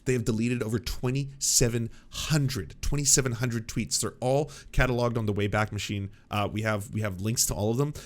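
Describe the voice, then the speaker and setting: A man's voice: mid-range voice, fairly even intonation, fairly quick, few pauses. A man hosting a live stream, reacting to clips with sarcastic, rambling political commentary. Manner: sarcastic, mocking, rambling with fillers.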